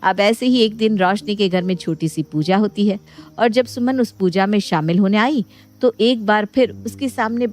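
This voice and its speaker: A woman storyteller reading scripted narration for a Hindi moral bedtime story. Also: medium pitch. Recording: music bed behind the voice.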